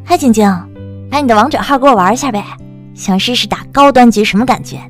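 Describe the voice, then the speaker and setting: A woman's voice: a little high, fast, faint music behind, close to an everyday voice. A woman playing a bubbly, teasing, gossipy young best friend in an audiobook drama, chatty and full of exclamations. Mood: pleading, playful.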